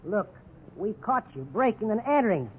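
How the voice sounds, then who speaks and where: High-pitched, bullying radio cop. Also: male voice, sing-song, normal speed, heavily acted. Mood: browbeating. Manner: gruff, browbeating. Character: bullying, hard-nosed male police officer. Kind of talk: 1950s radio drama acting. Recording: tinny, noisy 1950s radio recording.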